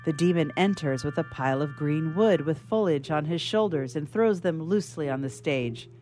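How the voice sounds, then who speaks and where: A woman with a slightly low voice narrates scripted stage directions. Her reading is formal and measured. Music sits behind the narration. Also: lively intonation, darkish tone.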